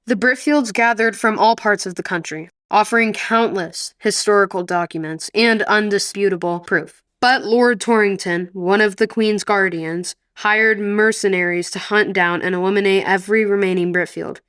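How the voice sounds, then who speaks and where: Woman playing secretive male royal staffer, formal, defensive, mid-pitched, dry sound. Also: normal speed, few pauses, a bit bright, no echo, close to an everyday voice. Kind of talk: radio play acting.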